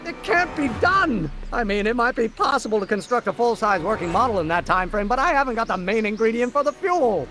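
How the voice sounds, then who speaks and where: An actor playing an excitable, earnest young American inventor in a video game, with a theatrical, formal and eager delivery. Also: male voice, extremely high pitch, fairly quick, hardly any pauses, soft background music, exaggerated voice. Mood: flustered.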